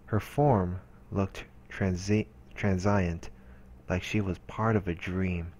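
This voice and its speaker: A man's voice: deep voice, steady tone, slow pace, darkish tone. A man reading visual novel narration aloud as a let's player, in an earnest, dramatic storytelling way.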